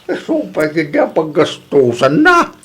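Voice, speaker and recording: A gravelly old husband, as a performer plays him in a radio comedy drama. His voice swings widely and he speaks slowly, exclaiming as he goes. The gravelly rasp gives him an aged, weathered sound. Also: medium pitch, full character voice. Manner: animated, exclaiming. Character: old husband, easily delighted.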